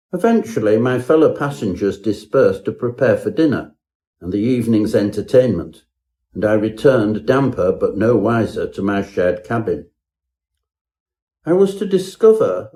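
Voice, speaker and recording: A man's voice: mid-range voice, dark tone. A man narrating a parody Victorian ghost story in a pompous, mock-genteel manner.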